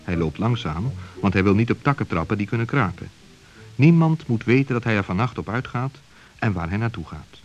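A man's voice: lowish voice, lively intonation, fairly quick, faint music behind. A man narrating a scripted children's story. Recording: dull, muffled old recording.

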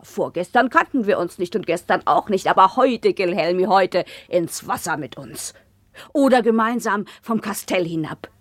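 A woman's voice: medium pitch, expressive, normal speed, no room sound, clean studio sound, natural voice. An actress playing a teasing, bawdy woman in a radio drama, playful and often laughing as she talks. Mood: amused, mocking. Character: giggly, teasing, bawdy woman reminiscing about lovers. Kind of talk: lively radio drama acting.

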